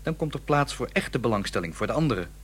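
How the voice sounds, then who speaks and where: A young student son, played by a male radio actor, proud and idealistic. He declares his principles earnestly in a mid-pitched voice. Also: very animated, quick pace, a little hiss or hum, close to an everyday voice. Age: young adult. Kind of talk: radio play acting.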